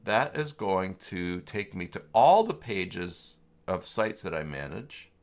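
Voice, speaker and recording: A man hosting a casual live software tutorial, talking informally and haltingly as he thinks aloud while chatting with viewers. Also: low voice, big pitch swings. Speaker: livestream host demonstrating website tools.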